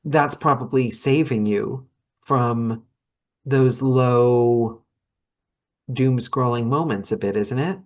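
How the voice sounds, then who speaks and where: A woman hosting a casual podcast chat, rambling and animated, laughing often. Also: extremely low pitch, takes it slowly. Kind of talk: casual video-call chat.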